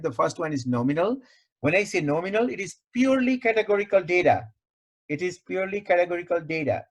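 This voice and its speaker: A man with a fairly high voice teaches an online class. He explains in short, segmented phrases, deliberately restating each point as a tutor would. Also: a bit bright. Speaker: statistics tutor, lecturer. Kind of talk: explanatory online lecture over slides.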